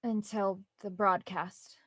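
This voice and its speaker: A woman playing an anxious, stubborn and wry young woman in a naturalistic audio drama, with halting, stammering speech. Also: mid-range voice, level tone, slightly rough, no echo. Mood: subdued. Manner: halting, stammering, rambling when nervous.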